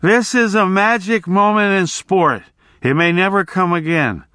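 A man's voice: high voice, sing-song, a little slow, bright, forceful tone, no echo, studio quality, voice pushed up, heavily acted. A man voicing a pompous, drunken magazine correspondent who declaims with grandiose authority. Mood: grandiose, sodden.

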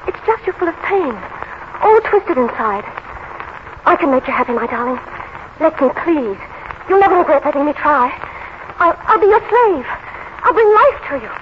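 An actress playing a lovesick young wife, pleading breathlessly in melodramatic 1930s radio style. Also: female voice, high-pitched. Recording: muffled, noisy 1939 radio recording.

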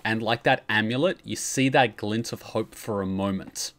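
A man's voice: on the low side, moderate pace, a bit bright. A man casually explaining game lore on a livestream, swearing freely as he goes. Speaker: streamer and lore commentator. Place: indoor room at a streaming setup.

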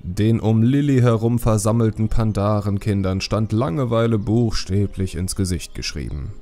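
A man narrating a fantasy audiobook in an expressive, descriptive storytelling style. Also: on the low side, moderate pace, faint music behind.

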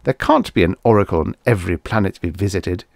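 A man's voice: sits low, fast, gravelly, darkish tone, no room sound, studio quality. A man voicing a greedy, stubborn and impatient space explorer in a science fiction audiobook, brash and dismissive.

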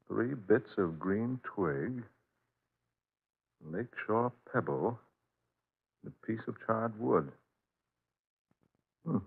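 Uneasy nosy guy in old radio play, husky, voice swings all over, muffled lo-fi sound. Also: lowish voice, exaggerated voice. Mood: puzzled, musing. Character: uneasy, inquisitive man visiting an old friend. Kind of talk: 1940s radio drama acting.

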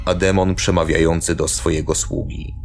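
Deep-voiced male fantasy narrator. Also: level tone, normal speed, creaky, noisy background. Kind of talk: scripted dark fantasy narration. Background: music.

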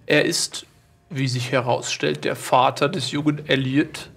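A man's voice: medium pitch, flat delivery, unhurried, gravelly, fairly bright tone. A man playing a foppish, wealthy lord in an improvised audio drama, pompous, formal and condescending. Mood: formal, conspiratorial. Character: smug, rich, foppish English lord.

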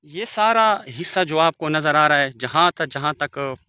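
Man in his forties, bright voice, dry close sound, outdoor phone vlog, formal devout phrasing. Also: on the high side, expressive, moderate pace, clear, resonant tone, no room sound. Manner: formal, devout phrasing with Arabic greetings and blessings. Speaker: village vlogger. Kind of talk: walking tour commentary. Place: outdoors in open riverbed countryside. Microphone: phone camera held by the speaker.

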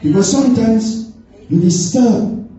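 A man's voice: high-pitched, animated, measured pace, dark tone. A middle-aged man, a church elder, giving an earnest sermon with frequent amens, recorded from far off in a hall. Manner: earnest exhortation with frequent "amen" interjections. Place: stage in a church hall, indoors. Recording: muffled, echoey hall, distant from camera.

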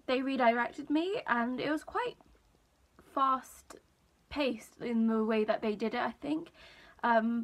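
Young female vlogger, high register, croaky today, slow unhurried chat full of ums, filmed close in a small room. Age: young adult. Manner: chatty, many ums, relaxed storytelling. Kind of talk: casual talk to camera.

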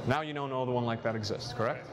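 A man in his twenties talking casually as a close-up street magician, explaining and performing. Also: fast. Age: 20s. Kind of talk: interview talk and casual performance patter. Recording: music underneath, subway train rumble.

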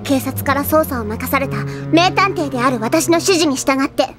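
A woman's voice: high voice, moderate pace, over music, close to an everyday voice. A woman playing a bossy, self-important teenage girl detective in an anime audio drama, commanding and self-assured.

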